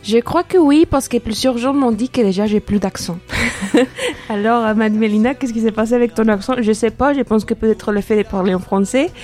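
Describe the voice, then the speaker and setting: Mexican woman chatting, mellow voice. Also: on the high side, brisk. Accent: Mexican Spanish. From Mexico City. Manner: hesitant, many fillers and restarts, laughs often. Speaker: podcast co-host. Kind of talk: casual radio podcast chat.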